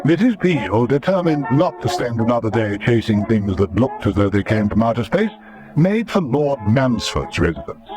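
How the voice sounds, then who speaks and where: A man's voice: medium pitch, very animated, moderate pace, over music. A man reading dramatic scripted narration as the narrator of a radio serial.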